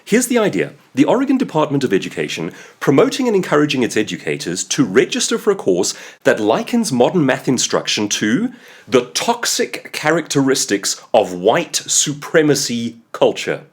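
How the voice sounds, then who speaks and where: South African male host, 30s, husky, emphatic and sarcastic, hugely lively pitch. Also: normal speed. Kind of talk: opinion commentary monologue.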